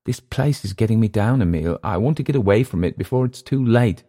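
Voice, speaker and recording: A man voicing a bitter, dissolute Englishman with an English accent, sneering and halting by turns. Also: lowish voice, animated, mellow, voice pushed up. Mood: uneasy.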